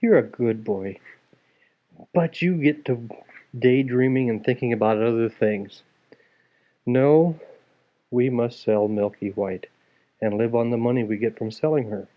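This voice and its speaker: A man's voice: medium pitch, unhurried, pauses often, natural voice. A man voicing a hard-working, worried but wise mother in a fairy tale, plaintive and scolding. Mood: resigned, firm. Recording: dull lo-fi home recording.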